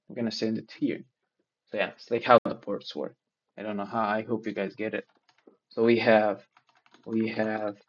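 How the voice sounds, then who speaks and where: A man's voice: lowish voice, darkish tone, muffled recording. A man for whom English is not a first language, taking notes aloud in a casual study vlog, hesitant and restarting as he searches for words. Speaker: learner sharing notes.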